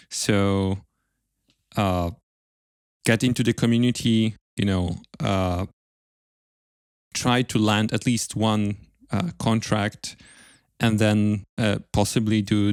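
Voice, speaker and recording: Male podcast host, lower-pitched voice, close dry recording. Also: no room sound. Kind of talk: podcast interview, with scripted sponsor read.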